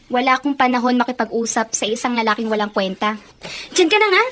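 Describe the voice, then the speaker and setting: High, bright young Filipino woman. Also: lively intonation, fast. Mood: indignant. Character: principled, thrifty young working woman, feisty when cornered. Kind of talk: Filipino radio drama acting.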